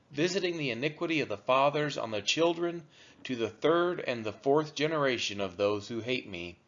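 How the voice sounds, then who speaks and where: A man reading scripture aloud as a narrator for a church read-along, in measured, deliberate phrasing. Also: mid-range voice, fairly bright tone. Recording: dull, lo-fi recording.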